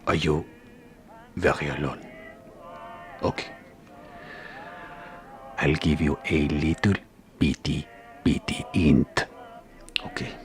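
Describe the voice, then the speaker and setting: An actor playing an anxious, secretive boss in an audio drama, stammering and dropping into hushed tones. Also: male voice, rumbling low, fairly even intonation, slow, long pauses, soft background music. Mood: conspiratorial. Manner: stammering, flustered, lapsing into hushed whispers. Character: anxious, secretive boss of a janitor, devoted husband. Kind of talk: theatrical audio drama phone monologue.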